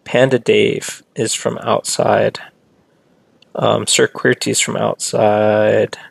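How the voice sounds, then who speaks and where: Male game streamer, casual chatty play-by-play, gravelly edge, dry close sound, talks to chat. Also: on the low side, flat delivery, takes it slowly, no echo. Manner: chatty, reads and answers chat, rambling asides. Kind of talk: casual live-stream commentary.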